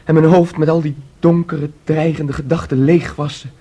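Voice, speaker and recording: Troubled, husky, dreamy young man. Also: fairly high pitch, level tone, mellow. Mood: dazed, brooding. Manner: brooding, dreamy, halting. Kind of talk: radio play acting.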